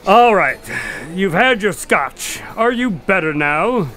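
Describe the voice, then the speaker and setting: An actor playing a detective's sardonic, exasperated sidekick in a radio drama, grudging and dry. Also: male voice, sits high, animated, takes it slowly, sharp tone, exaggerated voice.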